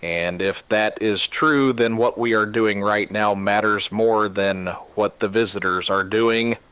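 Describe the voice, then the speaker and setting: A man playing a grave emergency radio broadcaster in a scripted drama, speaking solemnly and deliberately in short, clipped sentences. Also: on the low side, fairly even intonation, a bit bright, smooth, no room sound. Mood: grave, controlled. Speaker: radio news host.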